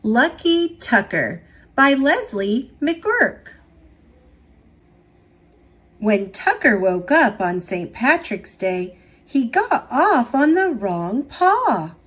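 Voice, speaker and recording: A woman reading children's picture books aloud in an expressive, deliberate storytelling manner for young listeners. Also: on the high side, slightly rough. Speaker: storybook reader.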